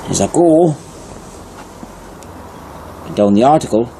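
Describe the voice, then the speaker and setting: A man's voice: mid-range voice, animated, slow, creaky, mellow, slight background noise, poor audio. A man giving informal video commentary and reading passages aloud, emphatically repeating key phrases. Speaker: narrator presenting old newspaper articles.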